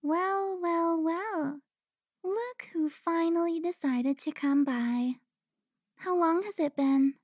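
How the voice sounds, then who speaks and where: A woman's voice: very high-pitched, darkish tone, dry recording. A woman playing a teasing, pouty, centuries-old vampire who looks twenty, in a cinematic audio roleplay. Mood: playful, teasing. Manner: playful, pouty, drawn-out teasing.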